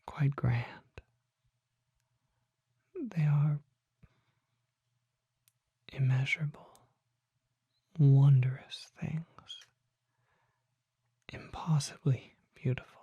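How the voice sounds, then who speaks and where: A performer voicing a refined, mysterious vampire shopkeeper in a soft, breathy ASMR whisper, speaking formally with deliberate pauses. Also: medium pitch, steady tone, dry recording, highs cut off, exaggerated voice. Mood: gentle awe. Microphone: close microphone.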